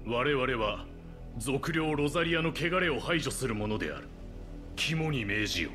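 An actor playing a menacing, authoritarian soldier in a video game, commanding and stiffly formal. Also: male voice, medium pitch, expressive, moderate pace, lightly acted. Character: menacing, authoritarian male soldier of an occupying army. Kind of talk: Japanese video game voice acting. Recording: music under the voice.

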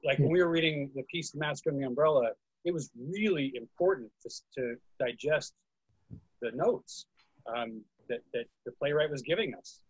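Man, host, husky voice, slightly high pitch, casual discussion, video call. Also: moderate pace, dry recording, lo-fi sound. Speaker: host and moderator of a playwrights' reading discussion. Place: indoors, online video call. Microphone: video call microphone.

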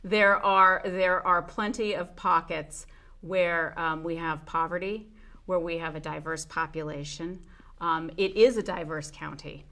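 A woman's voice: lowish voice, steady tone, a little slow, sharp tone. A woman in her forties, answering studio interview questions earnestly and with some fillers. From Puerto Rico and the United States. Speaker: judicial candidate. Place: small studio with dark curtains. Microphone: lapel mic.

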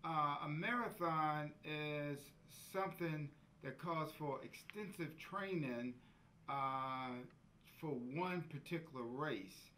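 Unhurried man, lots of ums. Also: fairly high pitch, sharp tone, a little hiss or hum. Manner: slow, many fillers. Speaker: interviewee. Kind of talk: documentary interview.

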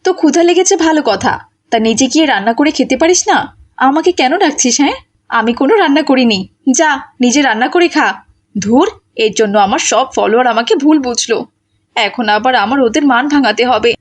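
A woman voicing a selfish, short-tempered mother in a cartoon, with a shrill, theatrical delivery. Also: animated, brisk. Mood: scolding.

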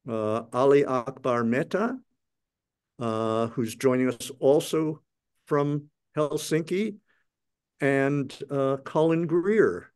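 An older man introduces a panel over a video call. He talks in a measured way with plenty of pauses, and his webcam picks him up in a large curtained hall. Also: medium pitch, darkish tone. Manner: measured, with frequent filler pauses. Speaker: host.

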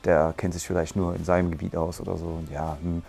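A man in his forties, a martial arts school owner, earnestly giving a testimonial on a lapel mic in a bright room. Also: low-pitched, level tone, a little fast, vocal fry. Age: 40s. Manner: earnest, explains at length with restarts. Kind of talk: testimonial interview. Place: bright room with curtains, standing.

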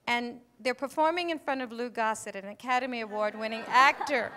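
An American woman in her sixties hosting a live event, speaking emphatically and deliberately into a handheld microphone. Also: fairly high pitch, pushed and bright. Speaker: event host and moderator. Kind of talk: addressing a live audience on stage. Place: auditorium stage before an audience. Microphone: handheld stage microphone.

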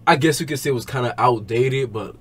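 A man's voice: medium pitch, fairly quick. A young man hosting a music reaction, talking casually in an exclamatory, repetitive, slangy way. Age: young adult. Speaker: music reaction video host. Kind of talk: casual excited album reaction. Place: small home room with acoustic foam panels. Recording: music playing in the background.

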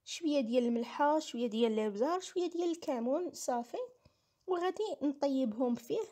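A woman's voice: sits high, expressive, fast, mellow. A woman with a Moroccan accent giving a casual recipe voice-over, explaining each step and repeating herself. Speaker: home cooking vlogger.

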